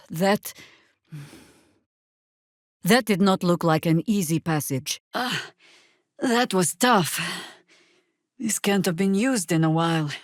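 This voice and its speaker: An actress playing a world-weary, wry middle-aged veteran druid who leads rebels in a video game, with a dry, measured delivery. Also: female voice, on the low side, lively intonation, no room sound. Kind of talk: video game character acting.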